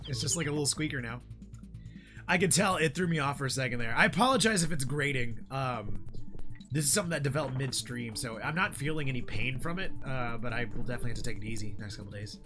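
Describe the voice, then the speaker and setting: A hoarse young man streaming a game, chatting casually with viewers in a rambling way with lots of fillers. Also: mid-range voice, fast. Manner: rambling, frequent fillers, reads chat aloud. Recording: game music behind the voice.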